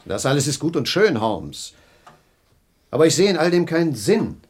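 An actor playing a skeptical, impatient police inspector in a German radio play, blunt and questioning. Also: male voice, on the high side, sing-song, moderate pace, breathy edge. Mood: exasperated.

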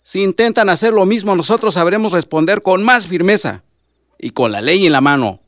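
A Mexican man acting a wise, resolute village leader, measured and solemn, in a radio soap opera. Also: high-pitched, animated.

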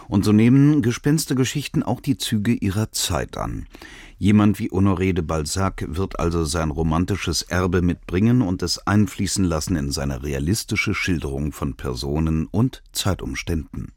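A man's voice: low voice, expressive, moderate pace, a little mellow, dry recording. A man speaks. He presents like a radio host reading a prepared introduction. The sound is close and dry, with hardly any room to it. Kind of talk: scripted narration, radio introduction.

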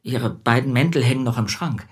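A husky-voiced man , an actor, as a withdrawn, worried bookseller in a radio play. His pitch sits in the middle and his replies are terse and hesitant. He sounds subdued and anxious. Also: a little fast, close to an everyday voice. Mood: anxious, evasive. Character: anxious, withdrawn male bookseller whose wife has gone missing. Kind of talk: German radio play dialogue.

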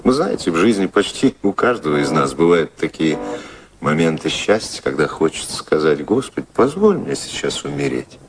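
A worldly, ironic gentleman confidant in a radio play. His low voice rises and falls expressively as he persuades with theatrical, cynical polish. Clear background ambience runs under the speech. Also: big pitch swings, moderate pace, noisy background, heavily acted. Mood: philosophical, soothing. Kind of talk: Russian radio drama acting.

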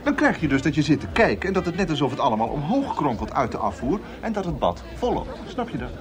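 An actor playing a breezy, eager traveller who explains things in a chatty, insistent way in a radio comedy. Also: male voice, on the high side, sing-song, fast talker, a crowd in the background. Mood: eagerly explaining. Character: breezy, eager male traveller sharing odd ideas. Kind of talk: radio comedy drama acting. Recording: music playing behind the dialogue.

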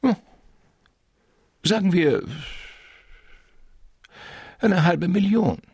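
An actor playing a suave, wily criminal mastermind in a German radio crime drama, speaking in a courtly, verbose and pompous way. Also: male voice, high voice, extremely slow, a little mellow, husky, dry recording, clean studio sound, full character voice. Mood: suave bargaining.

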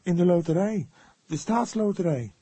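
An earnest young woman in an audiobook, played by a man, devout and defensive, speaks in a raised, high-pitched voice. She is patient and solemn, with emphatic, swooping phrases and a slightly husky edge. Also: animated, normal speed, mellow, no echo. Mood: patient, insistent.

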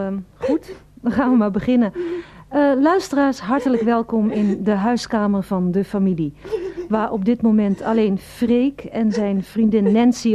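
A woman's voice: medium pitch, natural voice. A woman playing a polite radio host, presenting a family comedy serial to listeners.

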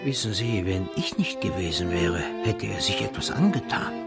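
Pompous, animated village pharmacist. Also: male voice, sits low, normal speed, vocal fry, over loud music, exaggerated voice. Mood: self-important. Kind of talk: radio play acting.

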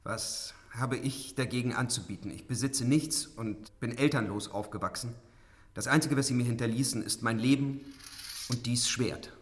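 An actor playing a bold, open-hearted young hero in a fantasy radio drama, with an earnest, heroic manner. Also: male voice, medium pitch, moderate pace, big-room sound, close to an everyday voice. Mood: candid.

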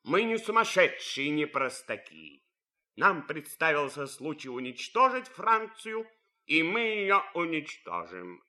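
An actor playing a disillusioned, anguished army officer in a radio drama, grave and earnest, speaking haltingly with weighty pauses. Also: male voice, fairly high pitch, sing-song, sharp tone, heavily acted. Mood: bitter, anguished. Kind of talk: old Russian radio drama acting.

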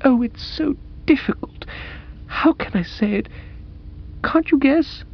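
A man voicing a dreamy, sentimental young woman with a gushing, halting, earnest delivery in a dramatised audiobook. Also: very high-pitched, expressive, creaky, highs cut off, pitched above the performer's own voice, full character voice. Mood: pleading.

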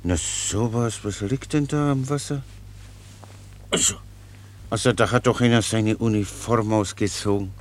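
An actor playing a naive, talkative, good-natured Czech soldier in a radio play, rambling on in a folksy, guileless way. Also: male voice, on the low side, measured pace, long pauses, close to an everyday voice. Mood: musing, curious. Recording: noisy radio play recording.

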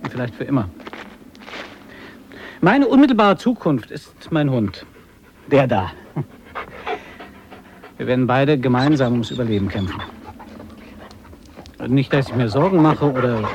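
A man acting a proud, earnest and excitable polar explorer in a radio drama, thinking aloud expressively as if interviewed. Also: mid-range voice, background noise. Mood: earnest, reflective. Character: excitable male polar adventurer, proud, earnest, devoted to his dog.

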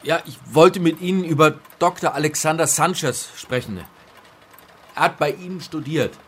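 An actor playing an earnest, uneasy middle-aged academic in a radio drama, speaking in a measured way as narrator and in dialogue. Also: male voice, fairly high pitch, a bit bright, husky. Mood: hesitant, polite. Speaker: actor and first-person narrator.

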